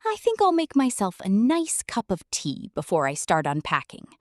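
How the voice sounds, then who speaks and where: A woman acts out a prim little lady from a children's storybook. She is fussy and house-proud, given to exclaiming. Her pitch leaps about animatedly in a dry, close sound. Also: wildly swooping pitch, normal speed, darkish tone, no echo. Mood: prim, contented.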